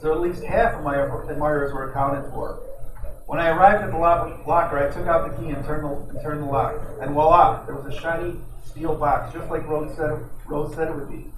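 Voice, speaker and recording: A man's voice: a little high, fairly even intonation, brisk, lightly acted. A man playing a wisecracking private detective who narrates his case in an old-time radio drama. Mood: wry storytelling. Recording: roomy, distant mic, noisy amateur recording.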